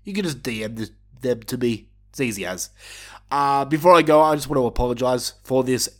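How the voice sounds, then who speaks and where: A man's voice: medium pitch, brisk. A man hosting his own show in a casual, rambling chat, with a nasal, blocked-nose voice from allergies. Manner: rambling, with fillers and restarts. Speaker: podcast host.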